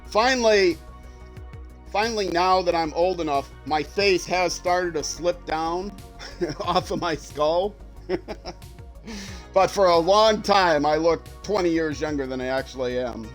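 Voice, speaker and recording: A man's voice: a little high, a little slow, long pauses, faint music behind. An older retired man with a young-sounding voice, chatting casually and rambling with many fillers as he streams a game. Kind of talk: casual livestream commentary while playing.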